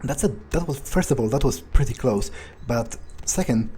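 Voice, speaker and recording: A man playing a wary, ruthless vampire on the hunt, thinking aloud in halting, hesitant phrases over a video game. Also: medium pitch, expressive, mellow, a little hiss or hum, natural voice. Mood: wary, searching. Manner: musing, hesitant, halting self-corrections. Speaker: let's player role-playing a game character. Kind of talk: in-character improvised gameplay narration, thinking aloud.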